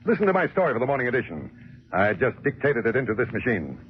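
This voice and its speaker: A man's voice: medium pitch, huge pitch swings, fast talker, slight background noise. A man playing a brash, boastful newspaper owner in a 1940s radio drama. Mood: boastful, insistent. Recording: muffled old 1940s radio recording.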